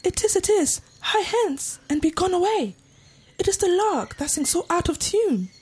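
A woman's voice: extremely high pitch, sing-song, moderate pace, darkish tone, a little hiss or hum. A woman playing a passionate, defiant young girl in love, declaiming in a Shakespeare audio drama. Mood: tender, anxious. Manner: declamatory, impassioned.

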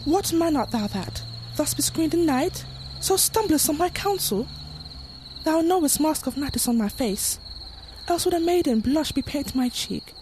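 A woman's voice: high voice, animated, normal speed, darkish tone, a little hiss or hum, rough recording. A young woman acting a passionate, anxious girl in love, delivering Shakespearean verse earnestly in an audio play. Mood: anxious, tender. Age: young adult. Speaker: student actor.

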